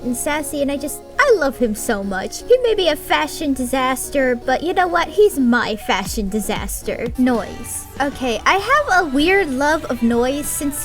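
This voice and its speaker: A woman with a high voice reviews an anime in a casual, blunt way, swearing and using sarcasm freely. Soft music plays faintly behind her.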